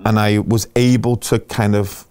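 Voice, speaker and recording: Middle-aged man, a somewhat low voice, animated storyteller who acts out his quoted dialogue. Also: moderate pace, smooth. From England. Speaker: guest. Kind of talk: casual interview conversation.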